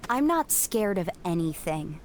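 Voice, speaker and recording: A woman's voice: lowish voice, sing-song, measured pace, slight background noise. A woman playing a determined, feisty young girl with a blunt, youthful manner in a family audio drama. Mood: defiant.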